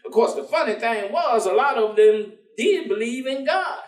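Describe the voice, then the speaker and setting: An actor playing a warm, streetwise American ex-convict turned preacher in a staged reading, with a folksy, slangy, teasing way of telling things. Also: male voice, very high-pitched, animated, moderate pace. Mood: reflective. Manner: folksy slangy drawling storyteller, teasing, chuckling. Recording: echoey theatre stage, distant mic.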